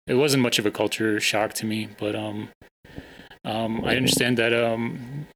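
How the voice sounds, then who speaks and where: Halting low-voiced male interviewer. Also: flat delivery, fairly bright tone, low-quality recording. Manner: hesitant, many ums. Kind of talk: casual interview. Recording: faint ambient noise.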